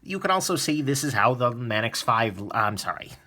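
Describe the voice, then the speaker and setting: A man hosting a casual knife disassembly video, chatty and relaxed with lots of fillers, a little sniffly from a cold. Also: medium pitch, normal speed. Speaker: knife reviewer, host. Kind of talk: casual tutorial narration.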